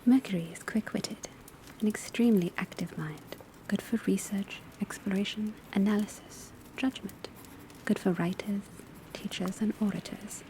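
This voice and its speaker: A woman giving a soft, breathy, mostly whispered ASMR classroom lecture in a measured, deliberate, instructive way. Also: medium pitch. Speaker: ASMR performer playing an astrology class teacher. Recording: steady ambient noise of rain and fireplace. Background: crackling fireplace, rain on rooftop, pencil writing.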